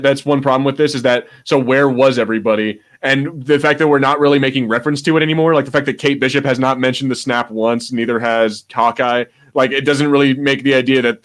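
A man's voice: mid-range voice, a bit bright. A man co-hosting a podcast, chatting casually about pop culture in a rambling way, swearing freely. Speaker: podcast co-host.

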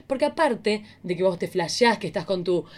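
A woman's voice: medium pitch, animated, normal speed. Bawdy, joking Argentine woman co-host. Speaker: podcast co-host. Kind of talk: casual comedic chat.

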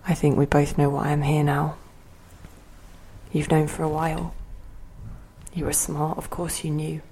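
Husky, grave female spirit. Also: low voice, monotone, measured pace, dark tone, heavily acted. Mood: grave, resigned. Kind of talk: audio play acting.

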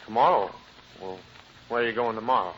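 A man's voice: lowish voice, fairly quick, lightly acted. A man playing a sheepish, flustered middle-aged husband and father with hesitant delivery in an old radio comedy. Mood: glum. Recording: dull, noisy old radio recording.

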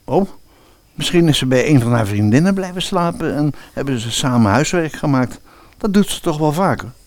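A man's voice: a little high, lively intonation, moderate pace, no echo. An actor playing an unconcerned, mildly sceptical father in a comic fairy-tale audio drama. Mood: unbothered.

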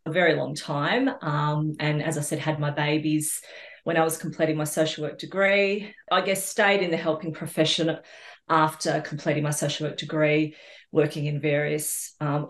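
Low-pitched middle-aged Australian woman, roomy sound. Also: level tone, moderate pace. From Australia, New South Wales. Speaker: podcast guest, social worker. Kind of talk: casual conversational interview.

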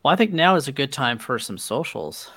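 A man co-hosting a podcast in relaxed, agreeable, casual conversation. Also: mid-range voice, fairly quick, breathy edge, no room sound, studio quality. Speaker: podcast co-host. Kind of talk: casual chat.

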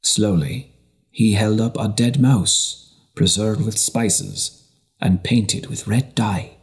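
Low-pitched man narrating a historical novel. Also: slow. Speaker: audiobook narrator.

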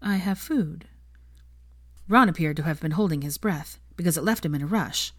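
A woman narrates a story in a measured, gentle manner. Her voice is a little low, and the recording is dry and close, with very little room sound. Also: no room sound. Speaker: audiobook reader of fan fiction.

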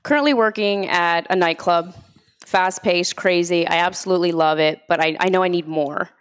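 A woman's voice: lowish voice, moderate pace, clear, resonant tone. A woman in her thirties from Pennsylvania, a podcast guest in casual chat, upbeat and eager. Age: 30s. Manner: upbeat, eager, laughs easily.

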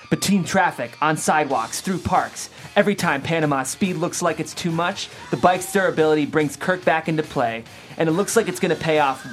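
A woman hosting and reading aloud, as at a script table read. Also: fairly low pitch. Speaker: host and script reader. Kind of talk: reading screenplay action aloud, then interviewing the writer.

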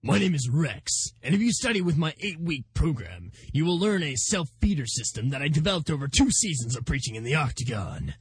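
A man acts out a swaggering macho preacher from a comedy sketch, pompous and bossy. His voice is very raspy and recorded dry and close, as he pitches his fighting-style ministry like a blustering coach. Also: big pitch swings, fairly quick, hardly any pauses, no room sound, full character voice. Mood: commanding, boastful. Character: cocky macho martial-arts preacher selling his own program. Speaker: pastor.

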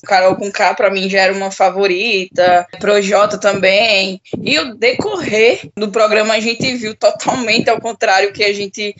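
A woman's voice: mid-range voice, fairly bright tone. Woman, podcast guest, earnest, dull lo-fi sound with faint hiss. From interior of Pernambuco, Brazil. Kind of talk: casual chat.

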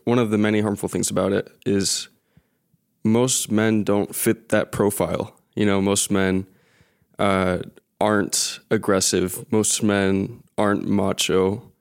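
A man's voice: fairly low pitch, gravelly, clean tone. A man on a discussion panel, talking casually and thoughtfully.

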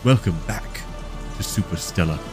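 A man's voice: sits low, normal speed, over loud music. A man delivering dramatic scripted narration as the narrator of a sci-fi audio drama.

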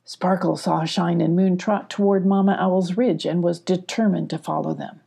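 A woman's voice: fairly low pitch, steady tone, normal speed, vocal fry, dark tone, breathy edge. A woman narrating a children's story from a script.

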